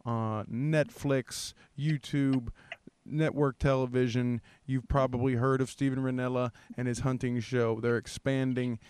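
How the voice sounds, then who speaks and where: Mellow, casual man hosting a podcast. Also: medium pitch, level tone, unhurried, a little hiss or hum. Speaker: podcast host. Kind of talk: casual podcast intro and interviewing.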